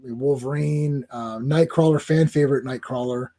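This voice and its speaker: A man's voice: medium pitch, fairly even intonation, normal speed, mellow. A man hosting a casual comics livestream, chatting through a comic and greeting viewers in the chat.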